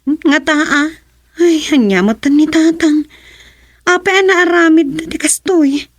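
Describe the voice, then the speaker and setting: A woman's voice: high voice, unhurried, no room sound. An actress playing a concerned young woman in an Ilocano family radio drama, earnest and questioning, with an Ilocano accent. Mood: worried.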